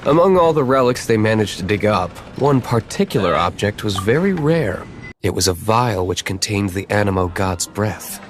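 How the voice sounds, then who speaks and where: A man voicing a cool, aloof young wealthy gentleman in a video game, measured and dry. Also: lowish voice, sing-song, faint music behind.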